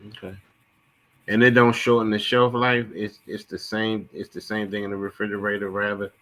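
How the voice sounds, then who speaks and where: A man's voice: fairly low pitch, flat delivery, moderate pace, a bit bright. A man from the DC and Maryland area asking curious questions on a group video call. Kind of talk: casual group chat on a call.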